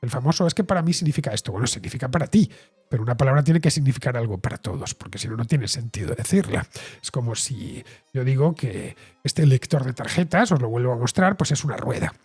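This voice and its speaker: A middle-aged Castilian Spanish man with a slightly husky, breathy voice explains things at a measured pace. He hesitates often as he talks through a solo monologue, and faint music plays behind him. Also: mid-range voice, lively intonation, a little mellow. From Spain. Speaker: host and writer. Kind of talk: solo talk-show monologue.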